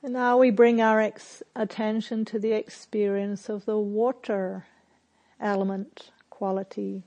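A woman meditation teacher speaks very slowly in a soft, mellow voice. Her phrases are short and measured, with long pauses between them. The delivery is gentle and quietly instructive, at a medium pitch for a woman. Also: little pitch movement. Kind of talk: guided meditation, slow and spare with long silences.

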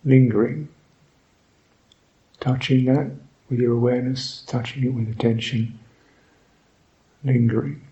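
A man guiding a meditation as a Buddhist teacher, speaking reflectively in short, halting phrases with long pauses. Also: mid-range voice, fairly even intonation, mellow, highs cut off. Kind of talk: guided meditation, unscripted and reflective.

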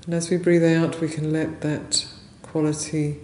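A woman leading a guided meditation as a teacher, speaking gently and unhurriedly in short phrases with long pauses. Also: sits low, flat delivery. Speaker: meditation teacher, Buddhist nun. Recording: roomy echo, faint background noise.

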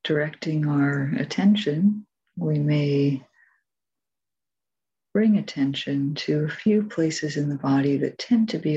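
Female meditation teacher, very slow pace, gentle measured delivery, long pauses, dull lo-fi recording. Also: low voice, breathy edge. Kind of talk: guided meditation.

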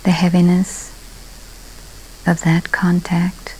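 A woman leading a guided meditation in a calm, unhurried way, leaving long pauses between soft, breathy-ending phrases. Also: on the low side, slight background noise, poor audio. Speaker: meditation teacher.

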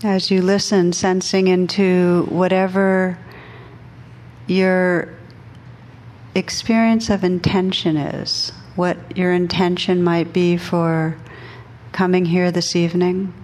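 A woman meditation teacher leading a guided meditation for a group, speaking gently and unhurriedly with long pauses between phrases. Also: on the low side, flat delivery.

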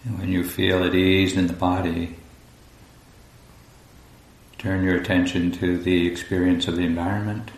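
Male meditation teacher: very flat, low delivery, slow and calm with long gaps, recorded in a reverberant room. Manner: calm, unhurried, long pauses between phrases. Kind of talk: guided meditation. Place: indoor room at a group retreat. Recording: roomy echo, faint low rumbling noise.

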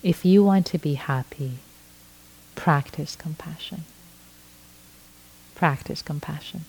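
A middle-aged woman from Iran, a native Farsi speaker, giving a gentle dharma talk as a meditation teacher, often restarting her phrases. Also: low voice, creaky, slight background noise, rough recording. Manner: slow, gentle, with frequent restarts.

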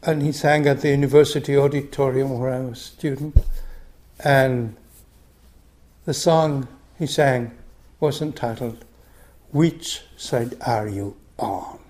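Older male meditation teacher, unhurried and thoughtful, mellow tone. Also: medium pitch. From Argentina. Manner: slow, reflective, frequent restarts. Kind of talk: dharma talk.

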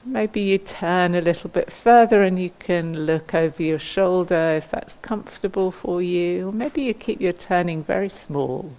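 Female meditation and movement teacher, low voice, gentle and unhurried guidance, leaves space between phrases. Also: gravelly. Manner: gentle, unhurried, inviting, with pauses. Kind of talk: guided mindful movement instruction.

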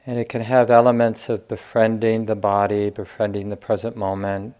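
A man teaching meditation, giving gentle guided instruction in short phrases with long pauses. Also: lowish voice, flat delivery, highs cut off.

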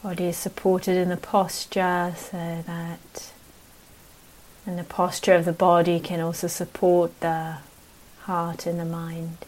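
Very slow, low-voiced woman guiding meditation. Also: completely flat delivery, poor audio. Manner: gentle, unhurried, with long pauses between short phrases. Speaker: meditation teacher. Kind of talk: guided meditation.

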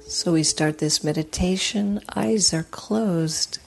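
A woman leading a guided meditation as a teacher, speaking in a soothing, gentle, unhurried way with long pauses. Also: lowish voice, vocal fry, a little hiss or hum.

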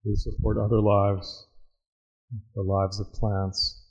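A man teaching a guided meditation, speaking in a measured, reflective way with long pauses. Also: lowish voice, completely flat delivery, husky, muffled recording.

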